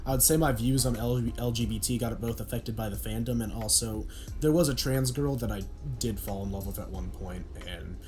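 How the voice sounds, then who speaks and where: A young man from Oklahoma with a deep voice, a podcast guest in casual chat who rambles and restarts a lot. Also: a little fast, a little mellow, faint music behind. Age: young adult. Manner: rambling, self-deprecating, many restarts.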